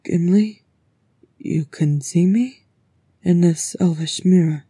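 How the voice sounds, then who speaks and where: A woman's voice: lowish voice, slow pace, dark tone, husky, no room sound. A woman voicing an earnest, stout male dwarf in a fantasy story, awestruck and bewildered, with emphatic, old-fashioned formal phrasing. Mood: awestruck, respectful.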